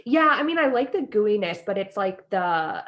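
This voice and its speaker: A woman's voice: medium pitch, sing-song, moderate pace. An American woman co-hosting a podcast, chatting casually in informal, slangy phrasing full of "like". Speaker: podcast co-host. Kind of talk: casual chat.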